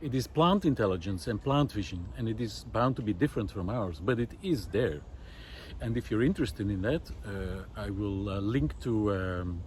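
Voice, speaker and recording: Man, lowish voice, reflective nature vlog, hesitant musing delivery, outdoors, faint background noise. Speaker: vlogger. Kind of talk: unscripted reflective nature monologue. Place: outdoors in a wooded park.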